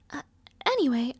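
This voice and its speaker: A woman playing a lonely, sickly young princess in an intimate audio roleplay, speaking softly and breathily in a genteel, resigned, wry manner that often trails toward a whisper. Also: high voice, dry recording, exaggerated voice. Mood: wistful, resigned. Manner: languid, genteel, sighing. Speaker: roleplay voice actress.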